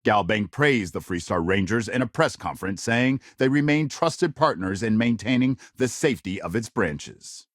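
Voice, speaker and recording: A man's voice: medium pitch, no room sound, clean studio sound, natural voice. A man playing a polished, dramatic news broadcaster in a sci-fi game, reading scripted reports in a punchy, theatrical newsreader delivery.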